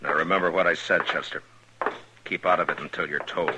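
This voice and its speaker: An actor playing a steady, tough frontier marshal who also narrates, in a calm, clipped, commanding 1950s radio drama style. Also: male voice, low-pitched, brisk, noisy background, close to an everyday voice. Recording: old radio broadcast with music.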